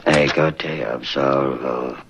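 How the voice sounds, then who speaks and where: An actor voicing a taunting, malevolent demon in a horror film, gravelly and whispery, speaking slowly. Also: male voice, rumbling low, steady tone, fairly bright tone, full character voice. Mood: sinister taunting. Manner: slow, mocking, sinister. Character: taunting, malevolent demon possessing a young girl. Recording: dull, noisy old film soundtrack.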